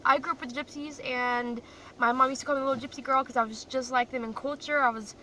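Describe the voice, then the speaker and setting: A young woman from Croatia vlogs from her parked car, rambling freely and laughing at herself. Her voice is bright and a little high. The phone sits a bit away from her, with a faint hum in the background. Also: fairly even intonation, quick pace. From grew up in Croatia. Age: young adult. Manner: chatty, rambling, self-deprecating. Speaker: vlogger. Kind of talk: casual chat to camera. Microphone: phone camera in a car. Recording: distant mic with faint car noise.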